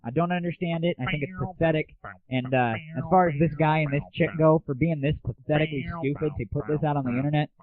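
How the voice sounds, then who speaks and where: A man's voice: a little high, steady tone, fast talker, a crowd in the background. A man hosting an internet audio show, delivering a crude, sarcastic and casual comic rant. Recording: narrow, tinny lo-fi internet audio.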